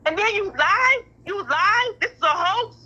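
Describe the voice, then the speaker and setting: A woman's voice: very high-pitched, expressive, unhurried, pushed and bright. A middle-aged woman talking bluntly and emphatically in a heated casual phone conversation, often repeating herself and swearing. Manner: emphatic, repetitive, blunt with profanity. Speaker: parent in a phone discussion. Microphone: phone call. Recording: muffled telephone-like audio.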